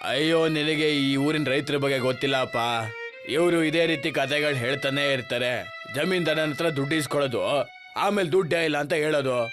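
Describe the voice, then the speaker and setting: A man playing a scornful, dismissive village landlord in an animated moral story. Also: on the high side, moderate pace, music in the background, natural voice. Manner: dismissive, curt.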